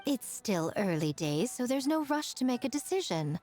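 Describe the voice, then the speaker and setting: Elegant sly boss lady from a game, highish voice, playful, really melodic delivery. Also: big pitch swings, a little mellow, faint music behind. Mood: amused, knowing. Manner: measured, playful, knowing. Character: poised, teasing, shrewd woman of authority. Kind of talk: English video game voice acting.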